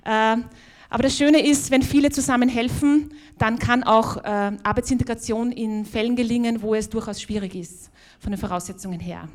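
A woman's voice: a little high, normal speed. Viennese woman hosting a conference. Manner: friendly, loose spoken welcome with many fillers and restarts. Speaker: conference host. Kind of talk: opening speech at a conference. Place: conference hall.

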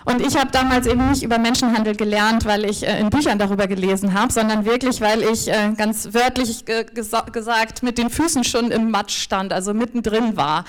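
A woman's voice: on the high side, steady tone, fairly quick, few pauses. A woman interpreting a talk consecutively, hesitating often with fillers and restarts. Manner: hesitant, many fillers and restarts while translating.

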